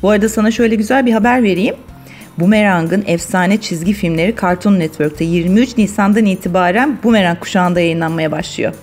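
A woman's voice: medium pitch, fast talker, darkish tone, faint music behind. A woman hosting a family vlog, chatting casually with children as they play a game at home. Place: living room at home.

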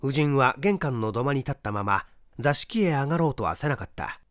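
A man's voice: medium pitch, lively intonation, fairly quick, a bit bright, no echo, studio quality. A man reading a mystery story aloud as a dramatic scripted narration. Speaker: audiobook reader, stage actor.

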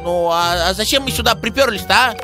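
Hoarse high-voiced excitable young man. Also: a little fast, over loud music. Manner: excitable, whiny complaints. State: hoarse from illness. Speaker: gaming channel host. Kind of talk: casual gameplay banter.

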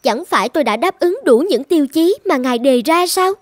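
High-pitched young demon wife. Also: female voice, lively intonation, fairly quick, no echo. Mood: demure, coaxing. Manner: demure, coaxing, theatrical. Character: sweet young wife, secretly a gluttonous two-mouthed female demon. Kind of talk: animated folktale acting.

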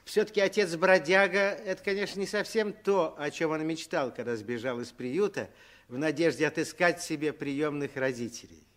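A man's voice: fairly high pitch, normal speed, fairly bright tone. A man narrating a children's story as scripted narration in a 1960s radio play.

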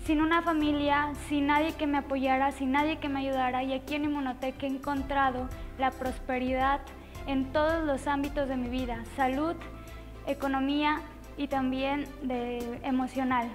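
Early 20s woman, Mexican accent, high voice, earnest pitch to camera. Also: flat delivery, normal speed, faint music behind. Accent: Mexican Spanish. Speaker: company testimonial speaker. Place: bright indoor studio. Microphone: lapel mic.

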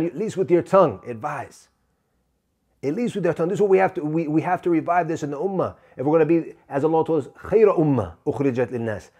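Fast-talking, emphatic male preacher. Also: mid-range voice, mellow. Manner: emphatic, sprinkles Arabic terms and quotations. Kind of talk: religious reminder talk.

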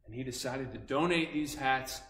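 A man working as a children's storyteller, animated and instructive, pausing between phrases as he invites listeners to act along. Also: mid-range voice. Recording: echoey room.

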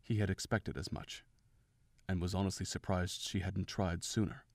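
A man's voice: low voice, fairly even intonation, moderate pace, creaky, a little mellow, dry recording, studio quality. A man reading scripted story narration as the narrator of an audio drama.

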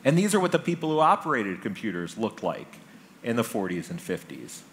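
A middle-aged man delivers a prepared conference talk, telling a story in deliberate, emphatic phrases with pauses for effect. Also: fairly low pitch, big pitch swings, fairly bright tone, rough recording. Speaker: conference speaker. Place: large conference hall stage. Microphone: headset microphone. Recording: stage talk with background noise.

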